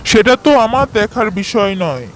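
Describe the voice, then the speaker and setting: A man's voice: sits high, big pitch swings, fairly quick, a bit bright, slight background noise, poor audio. A man voicing a domineering husband and dutiful son in a cartoon. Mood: angry. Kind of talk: cartoon dialogue.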